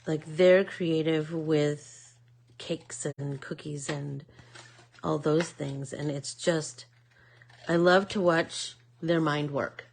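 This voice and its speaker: A woman's voice: low-pitched, fairly even intonation, takes it slowly, creaky. A woman with a Midwest accent hosting a live art class, chatting casually and rambling with lots of fillers. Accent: American Midwest. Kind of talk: casual chat while painting.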